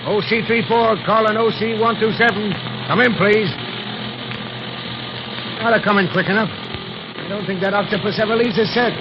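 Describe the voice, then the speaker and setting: A brash henchman pilot, with a high voice and blunt, slangy talk. He sounds like a 1930s radio serial villain's hireling. The sound is muffled and noisy. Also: steady tone, normal speed, pauses often. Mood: brisk, grumbling. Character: brash hired villain pilot, uneasy under his boss.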